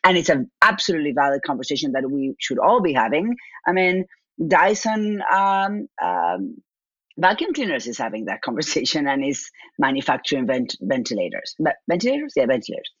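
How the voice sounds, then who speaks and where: A woman's voice: low-pitched, very animated, brisk, a bit bright, no room sound, muffled recording. A woman with an Argentine accent, a business owner answering interview questions and thinking aloud with restarts and repeats.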